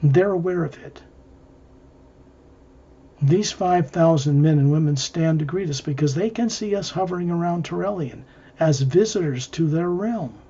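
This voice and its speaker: A man leading an improvised guided visualization, narrating in measured, halting short phrases. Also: on the high side, dark tone, husky, muffled recording. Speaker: guided meditation leader.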